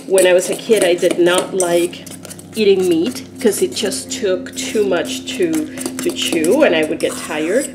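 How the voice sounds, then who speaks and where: A woman's voice: on the low side, measured pace. Chatty woman cooking in her kitchen. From Argentina. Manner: chatty, explains steps as she cooks. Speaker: cooking vlogger. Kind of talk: casual cooking demonstration. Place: home kitchen.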